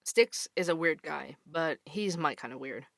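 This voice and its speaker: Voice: mid-range voice, moderate pace, gravelly, sharp tone, dry recording, studio quality. An actor playing an inquisitive young podcast host who investigates mysteries, in an audio drama. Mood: wry, friendly. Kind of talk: audio drama dialogue and narration.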